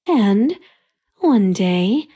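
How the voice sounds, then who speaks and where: A woman's voice: medium pitch, very animated, very slow, mellow, slightly rough, heavily acted. An actress playing a lovesick, obsessive young woman in a dramatic video game cutscene. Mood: calm, wistful, scheming. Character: lovesick, obsessive young woman who kidnaps her crush.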